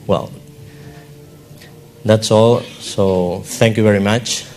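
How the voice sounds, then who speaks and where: A man with a fairly low voice presents research at a conference. He speaks in short, simple phrases, pausing and restarting as he goes. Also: steady tone, darkish tone, faint music behind. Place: conference hall.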